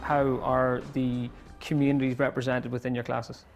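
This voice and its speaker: A man with a Northern Irish accent narrating and interviewing for a television feature in a clear, measured reporter's delivery. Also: medium pitch, little pitch movement, highs cut off, faint music behind. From Northern Ireland.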